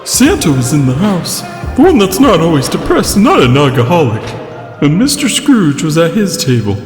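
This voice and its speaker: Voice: medium pitch, sing-song, moderate pace, reverberant, music in the background, natural voice. An actor playing a heartbroken, hot-tempered snowman in a comic noir radio drama, melodramatic and given to repeating words in outbursts. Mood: recounting, indignant.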